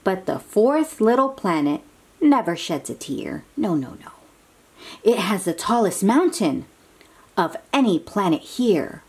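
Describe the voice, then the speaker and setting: A woman hosting a picture-book read-aloud for young children, exclaiming and asking coaxing questions in an animated manner. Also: medium pitch, measured pace, breathy edge.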